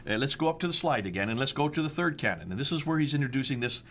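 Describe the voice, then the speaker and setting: A man's voice: mid-range voice, expressive, rapid-fire, a bit bright, slight background noise. A man with an American accent hosting a discussion, walking through slides with emphatic rhetorical questions and stressed dates. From United States. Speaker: host.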